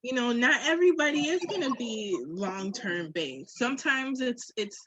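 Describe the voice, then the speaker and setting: A woman with a fairly high, husky voice talks candidly, as on a podcast. She is reflective and emphatic and swears freely, and the sound is close and dry. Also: lively intonation, normal speed, a bit bright, no echo, muffled recording. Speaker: podcast guest or co-host. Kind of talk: candid casual conversation.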